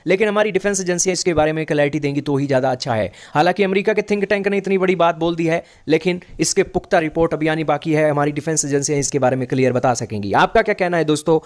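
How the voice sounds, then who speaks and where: A man with an Indian accent presenting an online news explainer, emphatic, often repeating points and posing questions to viewers. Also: a little high.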